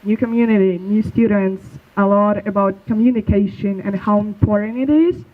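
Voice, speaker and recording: A young man from Belarus presenting a school project in a casual, slightly halting way. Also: high voice. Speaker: student presenter. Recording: tinny phone-like sound.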